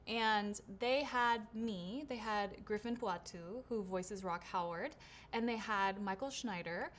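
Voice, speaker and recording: A woman's voice: fairly high pitch, fairly bright tone. A woman voice actor hosting her own livestream Q&A. She reads viewer questions aloud and answers in a chatty, upbeat way, with lots of "right?" and "um". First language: English. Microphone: shotgun studio microphone.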